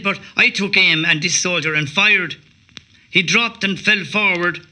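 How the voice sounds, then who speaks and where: A man's voice: high voice, sharp tone, heavily acted, low-quality recording. An actor playing a young rebel volunteer, narrating his account of combat in an audio play. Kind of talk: dramatised first-person witness narration.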